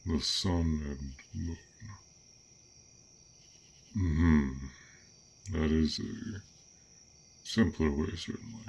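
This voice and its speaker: A man playing a gentle, curious dragon in a roleplay audio drama, with formal, old-fashioned, pensive speech. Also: very deep voice, drawn-out words, vocal fry, pauses often, a little hiss or hum, heavily acted. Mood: apologetic, formal.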